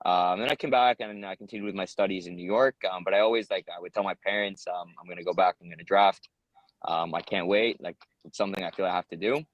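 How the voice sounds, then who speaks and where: Young American guy, low and quick, earnest interviewee full of fillers. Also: steady tone, no echo, muffled recording. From United States. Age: young adult. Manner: earnest, rambling, many ums and uhs. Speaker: interviewee, soldier. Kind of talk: casual interview answers.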